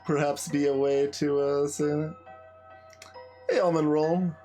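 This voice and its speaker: Slow, musing male gamer over music. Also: fairly high pitch, steady tone, mellow. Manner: hesitant, musing, trailing off. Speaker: host playing and commenting on a video game. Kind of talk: casual game commentary. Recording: music behind the voice. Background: game music.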